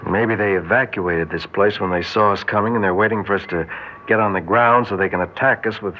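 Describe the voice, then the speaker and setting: A commanding spaceship captain, played by a male radio actor, low in pitch, brisk and wary. He gives orders with firm authority in an old science-fiction radio play. The recording is muffled, with heavy background noise. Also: lightly acted.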